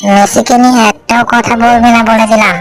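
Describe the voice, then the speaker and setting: A woman's voice: on the high side, level tone, brisk, over music, lightly acted. A woman voicing a jealous, quarrelsome fox wife in a cartoon, sarcastic and accusing. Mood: scolding. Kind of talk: Bengali cartoon voice acting.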